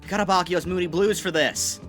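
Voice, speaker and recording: A man playing a brash, hot-headed young gangster in an audio drama, loud, excitable and bossy. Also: high-pitched, brisk. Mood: irritated. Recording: background music under the voice.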